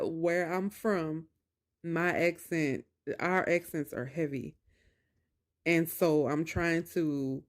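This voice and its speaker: A woman talking casually to viewers and telling a personal story in colloquial phrasing, emphatic and repeating phrases for stress. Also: low-pitched. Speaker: video creator talking to viewers about narcissistic abuse.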